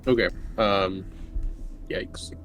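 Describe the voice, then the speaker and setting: A man with a fairly low voice speaks as a player in a tabletop game. He is casual and improvises, with music playing behind him. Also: extremely slow, a bit bright, low-quality recording.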